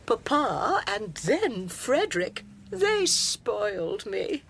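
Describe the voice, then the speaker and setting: Swooping, husky genteel older wife. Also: female voice, a little high, very animated, slow pace, a bit bright, heavily acted. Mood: wistful, smug. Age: 60s. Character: genteel older wife, coyly spiteful, theatrical, needling. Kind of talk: radio drama acting.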